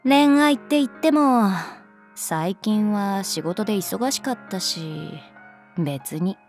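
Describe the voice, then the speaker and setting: Laid-back, teasing teacher, mid-pitched. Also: female voice, slow pace, soft background music. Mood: reflective, casual. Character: cool, teasing, caring adult female teacher. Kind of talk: Japanese voice drama acting, conversational.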